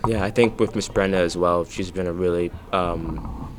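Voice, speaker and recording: A man's voice: deep voice, steady tone, moderate pace, slight background noise. A man hosting a casual interview as a student, hesitant with many fillers.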